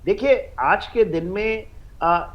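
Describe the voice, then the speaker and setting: An Indian man giving expert commentary on a news panel, halting and repeating himself, mixing in English phrases. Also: high voice, highs cut off. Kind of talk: live news panel analysis.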